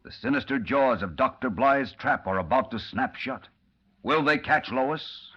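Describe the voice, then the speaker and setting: A man's voice: mid-range voice, animated, a little slow, breathy edge. A man narrating a 1940s radio serial as announcer, urgent and theatrical. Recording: muffled old radio recording, faint noise.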